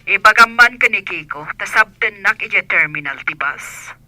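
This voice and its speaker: A man's voice: sits high, expressive, normal speed, sharp tone, no room sound, voice pushed up, heavily acted, rough recording. A man voicing an elderly, homesick mother talking to her son on a telephone in a radio comedy drama. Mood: chatty, insistent.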